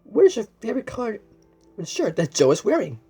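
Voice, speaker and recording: A woman's voice: medium pitch, very animated, mellow, slightly rough, faint music behind. A woman reading a children's storybook aloud, exclaiming, asking the listener questions and often stumbling over and repeating words. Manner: exclamatory, asks the listener questions and pauses as if answered. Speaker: storybook reader. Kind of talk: animated children's read-aloud with asides to the viewer.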